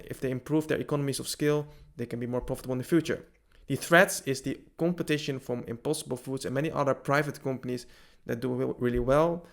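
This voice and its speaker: A man's voice: medium pitch, gravelly. A man hosting a financial analysis video, explaining points in plain, step-by-step fashion. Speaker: host of a stock analysis video.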